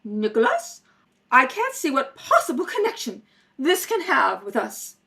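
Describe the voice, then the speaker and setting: A woman's voice: high-pitched, huge pitch swings, unhurried, gravelly, sharp tone. A woman acting parts in a rehearsal read-through of a radio mystery. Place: at home, at a kitchen table.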